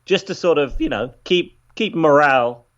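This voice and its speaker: A man's voice: on the high side, lively intonation, normal speed, fairly bright tone. A man giving a casual, wry on-air update as a radio reporter calling in from home over a remote link. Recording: muffled remote call audio.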